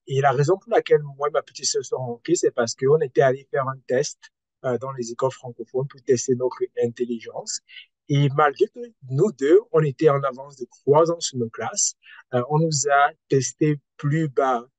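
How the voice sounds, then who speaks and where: A man's voice: medium pitch, moderate pace, dry recording, highs cut off. Young man with a Cameroonian French accent. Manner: hesitant, many filler sounds. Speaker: panelist. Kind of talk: panel talk sharing personal anecdotes.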